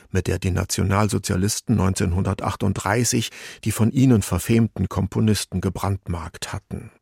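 Low, gravelly male radio presenter. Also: darkish tone, dry recording, studio quality. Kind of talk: scripted narration, cultural radio feature.

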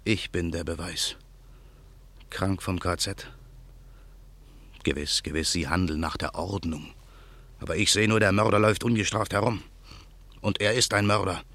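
An actor playing an embittered man who waits through the night for revenge, speaking his inner monologue slowly and in a hushed voice in a radio play. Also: sits low, fairly even intonation. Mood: brooding. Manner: slow, brooding, hushed. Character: embittered man awaiting revenge, male adult. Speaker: narrator and main character.

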